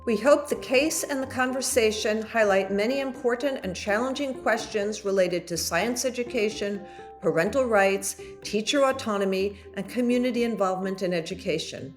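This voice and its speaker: A woman presents a scripted podcast introduction. Her voice sits at an average pitch, with quiet music underneath. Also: normal speed.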